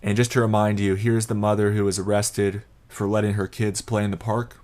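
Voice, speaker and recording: A man's voice: on the low side, level tone, a little fast, a little mellow. A man giving conversational commentary on the news, addressing viewers directly.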